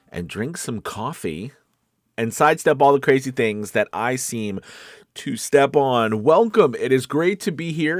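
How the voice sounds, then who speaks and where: A man hosting a casual livestream coaching show, talking in an animated, emphatic, conversational way. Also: medium pitch, dry recording, studio quality. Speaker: host of a voice-acting coaching show.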